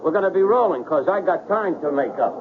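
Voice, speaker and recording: An actor playing a touchy working-class truck driver in a 1970s radio drama, blunt and slangy. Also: male voice, high-pitched, animated, fairly quick, phone-quality sound, background noise. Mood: brusque. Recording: phone-thin old radio broadcast.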